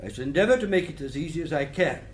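An actor playing a courteous, formal British coroner in a radio drama, questioning witnesses in a measured, probing way. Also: male voice, on the high side, expressive, lightly acted. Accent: British English.

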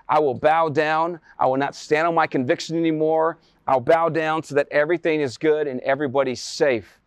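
A man's voice: fairly high pitch, dry recording. Preaching man with a dry, close sound. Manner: rhetorical questions and emphatic repetition. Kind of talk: sermon with dramatized retelling of Bible story.

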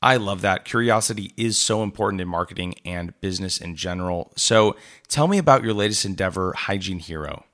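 A man's voice: deep voice, fairly quick, fairly bright tone. A man hosting a marketing podcast, reading a polished introduction and asking questions. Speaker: podcast host. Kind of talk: scripted intro and interview.

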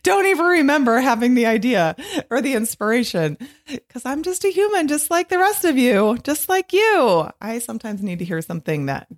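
A middle-aged woman hosting her podcast alone, her voice a little husky, talking in a chatty, rambling way with frequent laughs. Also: mid-range voice. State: slightly husky from long recording. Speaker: podcast host and author. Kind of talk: casual solo podcast monologue.

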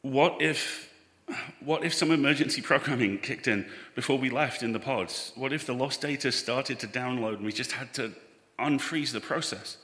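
Man acting an anxious, bright-voiced spaceman. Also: mid-range voice, natural voice. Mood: desperate. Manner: halting, repeats words, pleading. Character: anxious, earnest spaceman devoted to his ship's AI. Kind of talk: audio drama acting at a live show. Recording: echoey hall, live stage recording.

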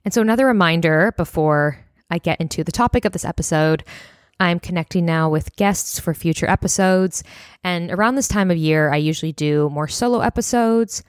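A woman in her twenties, a little hoarse, hosting a casual solo podcast and chatting with lots of fillers. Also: on the low side, a little fast, no room sound, clean studio sound. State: slightly hoarse, voice near breaking. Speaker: podcast host.

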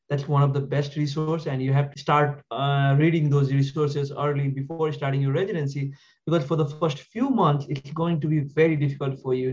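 A man's voice: medium pitch, a little mellow. A young man from Nepal, a medical resident, giving plain and earnest advice in a podcast interview. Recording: dull lo-fi sound.

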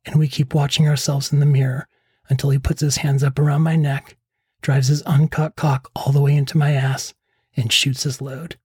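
A middle-aged man with a husky voice narrating a scripted first-person memoir as a podcast host. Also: medium pitch, dark tone, no room sound. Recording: close dry voice over faint music.

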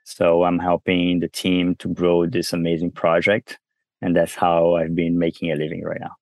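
A man's voice: low voice, completely flat delivery, moderate pace, vocal fry, darkish tone, no room sound. A man from Brazil with a Brazilian accent tinged with French, talking casually as an interview guest, with many fillers and restarts. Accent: Brazilian with some French. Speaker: guest entrepreneur.

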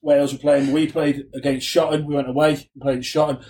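Higher-voiced man, distant mic. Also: flat delivery, brisk, noisy background. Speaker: podcast co-host. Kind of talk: casual podcast chat.

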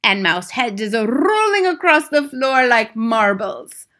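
Storybook old witch queen, high, piercingly bright, commanding, bloodthirsty, triumphant, broken foreign-sounding grammar. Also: big pitch swings, a little slow, exaggerated voice. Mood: shrieking, gleeful. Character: gloating, wicked old witch leader, imperious and bloodthirsty.

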